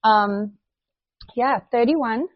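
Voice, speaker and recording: A woman's voice: a little high, dry recording, muffled recording. Chatty South African woman in her thirties. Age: 30s. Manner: chatty, laughs often, quick asides. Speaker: podcast co-host. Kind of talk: casual chat.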